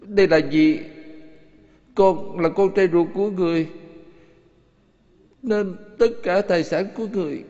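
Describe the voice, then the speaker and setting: Humble grown son, animated film: high-pitched, slow, hesitant, overwhelmed. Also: male voice. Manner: hesitant, stammering, earnest. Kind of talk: dubbed animation acting. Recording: muffled audio with long reverb.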